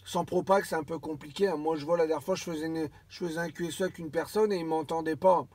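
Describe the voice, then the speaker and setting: A man with a somewhat high voice talks in a dry, close sound. He rambles casually as a radio hobbyist, hesitating and circling back over his own phrases. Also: fairly even intonation, fast, darkish tone, dry recording. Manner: rambling, hesitant, repeats himself. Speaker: amateur CB radio operator.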